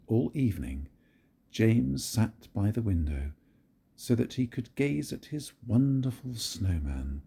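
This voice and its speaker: Slow, husky-voiced grandfather storyteller. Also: on the low side. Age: older. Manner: gentle, very expressive storytelling. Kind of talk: reading a picture book aloud.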